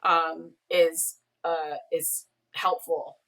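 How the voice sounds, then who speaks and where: A woman's voice: low-pitched, level tone, drawn-out words, a bit bright. A woman talking casually as an interview guest on a video call, explaining things in a rambling way with emphatic asides. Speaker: podcast co-creator and showrunner, interview guest. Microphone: video call, away from the mic. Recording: distant mic on a video call.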